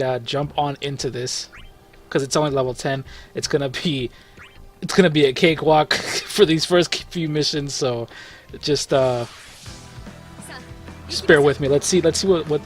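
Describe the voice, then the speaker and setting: A man's voice: fairly high pitch, normal speed, faint music behind. A man giving casual, rambling commentary over a mobile game run, full of fillers and self-corrections as he walks viewers through it. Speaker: gaming video host.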